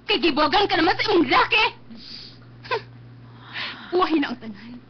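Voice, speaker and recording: An actress playing a stern, bitter elder sister in a radio drama, with a Visayan Filipino accent and an emphatic, accusing manner. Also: female voice, very high voice, very animated, normal speed. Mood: bitter, angry. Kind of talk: Philippine radio drama acting. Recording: dull lo-fi live stream, faint music.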